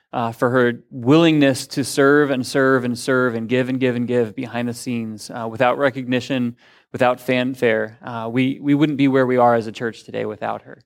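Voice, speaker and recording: Earnest, halting male pastor. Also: mid-range voice. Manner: halting, with many fillers and restarts, earnest. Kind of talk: sermon introduction. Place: church sanctuary in front of a congregation.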